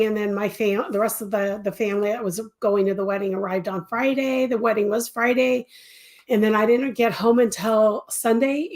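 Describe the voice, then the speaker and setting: An older woman with a stuffy cold and a slightly raspy throat, chatting casually as host of a weekly live stream, greeting viewers by name. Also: fairly high pitch. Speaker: crochet designer hosting a weekly live stream.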